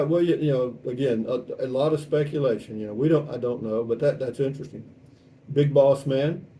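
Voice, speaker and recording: A man's voice: medium pitch, warm tone. A man in his seventies with a Texan accent, chatting casually and reading out comments in a folksy, rambling, wry way. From South Texas, United States. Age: 70s. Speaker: vlog host. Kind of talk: casual chat, reading out and answering viewer comments. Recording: distant mic with faint background noise.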